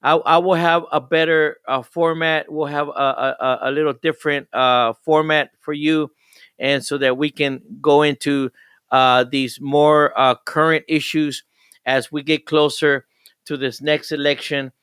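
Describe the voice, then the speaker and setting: A male radio host who talks haltingly, stumbling and restarting his sentences. His voice is bright and clean, and the recording is dry and close. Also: fairly high pitch, level tone, clear, resonant tone, no echo. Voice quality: halting, many restarts and repeated words. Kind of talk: casual interview.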